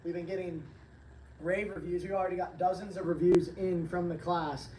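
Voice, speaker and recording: Hoarse man hosting a roomy livestream. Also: high voice, flat delivery, moderate pace, mellow, slight background noise. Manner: upbeat, rambling, reads chat aloud. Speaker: livestream host, training company staff. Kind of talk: casual livestream chat. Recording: roomy, distant from the mic.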